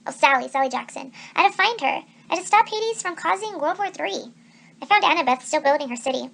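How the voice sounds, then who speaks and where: A woman reads an adventure story aloud in a very high voice. She narrates expressively, like a teacher reading a novel to students. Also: expressive, a little fast, creaky, fairly bright tone.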